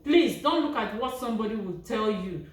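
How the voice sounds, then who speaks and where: A woman delivers an evangelistic sermon as an urgent, repetitive plea, emphatic and imploring. Her voice sits fairly high. It reaches the microphone from some distance, awash in strong room echo. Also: expressive, moderate pace. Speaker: evangelist preacher. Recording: very echoey room, distant from mic.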